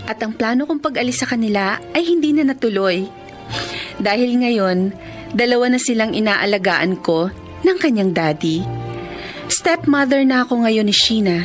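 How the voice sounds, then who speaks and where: An actress playing a caring, earnest nanny in a Filipino radio drama, with a Filipino accent. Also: female voice, fairly high pitch, animated, moderate pace, faint music behind, natural voice. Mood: warm, reflective. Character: caring, earnest young nanny pleading for her ward.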